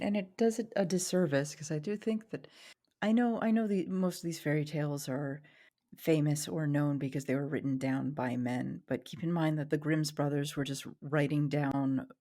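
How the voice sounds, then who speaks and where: A woman's voice: deep voice, normal speed, warm tone. A woman co-hosting a podcast in casual conversation, with a wry, joking way of talking. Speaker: podcast co-host. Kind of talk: casual discussion.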